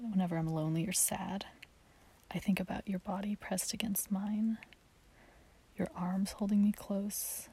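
A woman playing a lovestruck, submissive friend confessing her longing in an intimate audio roleplay, in a hushed, breathy, hesitant whisper. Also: medium pitch.

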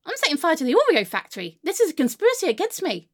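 Woman acting very high-voiced, melodramatic, aggrieved woman. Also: wildly swooping pitch, fast talker, exaggerated voice. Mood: huffy, paranoid. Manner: histrionic, sweary, swinging between sulky muttering and wailing. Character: melodramatic, irrational, foul-mouthed woman outraged by her haircut. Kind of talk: comedic monologue to an unheard friend.

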